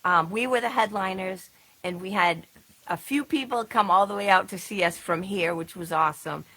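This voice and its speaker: A woman's voice: medium pitch, moderate pace, bright, forceful tone. A woman in a band chatting casually about past gigs on a livestream, with fillers and laughs. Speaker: band member co-hosting a livestream recap. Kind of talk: casual chat.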